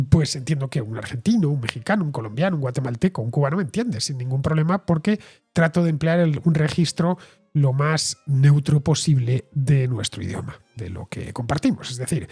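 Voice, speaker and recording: A middle-aged man from Spain with a Castilian accent, hosting a solo talk show and explaining ideas in a measured way with frequent hesitations. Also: mid-range voice, a little mellow, husky. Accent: Castilian Spanish. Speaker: host and writer.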